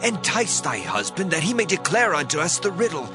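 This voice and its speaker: A man's voice: on the high side, very animated, brisk, over music. A man playing a menacing, taunting ancient townsman in dramatized scripture, theatrical and sly. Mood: threatening. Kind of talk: dramatized scripture, archaic English.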